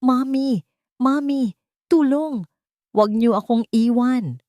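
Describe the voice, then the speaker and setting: A woman's voice: high voice, slow, mellow, no room sound, clean studio sound, pitched above the performer's own voice. A woman voicing a frightened little girl crying for her mother in a dramatic audio story. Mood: desperate crying out.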